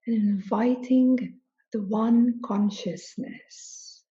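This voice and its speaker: A woman guides a meditation as a spiritual teacher. Her voice is soft-edged and mellow, and she speaks extremely slowly, placing deliberate pauses between phrases. The recording is dull and a little muffled. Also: on the high side, fairly even intonation.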